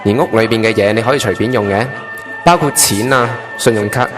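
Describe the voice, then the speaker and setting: Clear music plays under a low-pitched, solemn chief angel, acted by a man in a radio drama. He speaks formally and with authority in a Hong Kong accent, laying down instructions. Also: fairly quick, natural voice. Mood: brisk, businesslike. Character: solemn male chief angel briefing a newly made trainee angel. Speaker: voice actor in an online radio drama. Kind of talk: Cantonese radio drama acting.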